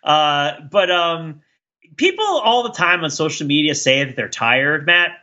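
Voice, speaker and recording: A man's voice: on the high side, sing-song, a little slow, bright, forceful tone, lo-fi sound. A man co-hosting a podcast in casual, discursive chat, rambling and often questioning himself as he goes. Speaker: podcast co-host.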